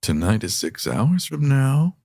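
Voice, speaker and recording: A man's voice: medium pitch, sing-song, unhurried, vocal fry, warm tone, no echo, full character voice. A suave, callous noir villain and lover, with a mid-range voice that slides expressively up and down. He sounds amused with himself, recorded close and dry. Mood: soothing, smug. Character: smooth-talking, callous male killer and lover. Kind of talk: noir radio drama acting.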